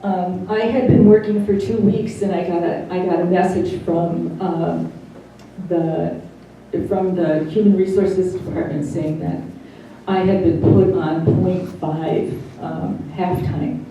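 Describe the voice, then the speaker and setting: Slow, halting middle-aged woman, very mellow. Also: lowish voice, steady tone. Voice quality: careful over-articulated consonants after voice loss. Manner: slow and halting, many pauses. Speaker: interviewee. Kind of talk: personal testimony. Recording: roomy, distant mic, faint hiss.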